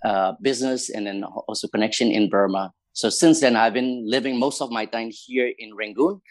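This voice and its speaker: A man from Myanmar with a Burmese accent, recounting life and history as an interview guest in halting, conversational English phrasing. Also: fairly low pitch, steady tone, dry recording. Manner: hesitant, halting non-native English phrasing. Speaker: interview guest, community leader.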